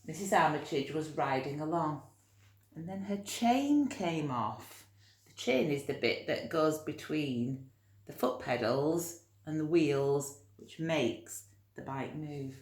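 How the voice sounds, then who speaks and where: A woman reading a picture book aloud to young children, expressive, with chatty asides and gentle explanations. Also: low voice, slow. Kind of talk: animated read-aloud storytelling with chatty asides. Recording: roomy, echoey room sound.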